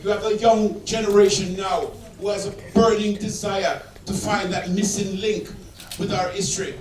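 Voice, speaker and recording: A man's voice: high-pitched, level tone, moderate pace, a little hiss or hum. A man in a cinema audience earnestly pressing a question at a film Q&A. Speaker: audience member asking a question. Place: cinema auditorium. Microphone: point-and-shoot camera across the room. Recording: echoey auditorium, distant camera mic.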